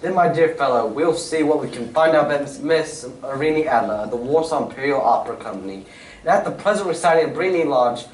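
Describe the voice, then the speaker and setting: An amateur actor, a man, playing a brisk, courteous, coolly amused detective in an old radio mystery style, with clipped genteel phrasing. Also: fairly high pitch, animated, lightly acted. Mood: courteous, assured. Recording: roomy, distant stage recording with faint noise.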